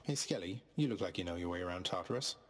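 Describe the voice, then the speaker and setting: An actor playing a wry, determined young underworld prince in a video game, dry and polite in manner. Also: male voice, sits low, lively intonation, fast talker. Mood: casual. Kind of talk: scripted video game voice acting.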